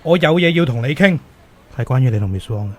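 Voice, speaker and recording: Casting: man as stern, worried male teacher and fiancé, 31, Hong Kong Cantonese, rapid and swooping delivery, radio drama. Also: mid-range voice, expressive, natural voice. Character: stern, jealous thirty-one-year-old male teacher, worried fiancé.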